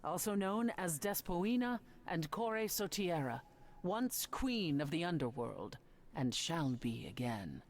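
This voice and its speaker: A woman's voice: on the low side, sing-song, slow, slight background noise, exaggerated voice. An actress voicing a regal goddess and former queen of the underworld in a story game, speaking in a formal, commanding way. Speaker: game character.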